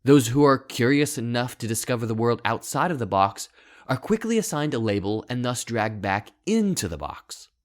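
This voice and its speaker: A man voicing an obsessive, self-absorbed young outcast in a storybook monologue, deliberate and theatrical, with intense, hushed turns. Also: mid-range voice, big pitch swings, close to an everyday voice. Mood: contemptuous, defiant. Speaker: author reading own short story. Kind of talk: first-person dramatic monologue, scripted narration.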